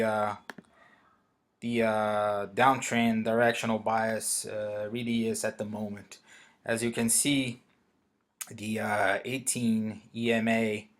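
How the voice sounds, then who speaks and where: Brazilian man hesitantly explaining a trade. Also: fairly low pitch. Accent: Brazilian Portuguese. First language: Portuguese. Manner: hesitant, many fillers and restarts. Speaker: forex trader. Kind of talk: screen-recorded trading walkthrough.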